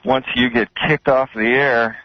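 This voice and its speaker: A man's voice: medium pitch, steady tone, unhurried, no echo. A man calling in to a radio show, chatting casually as a fan over the phone. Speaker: radio caller. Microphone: telephone. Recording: dull, dry phone call on radio.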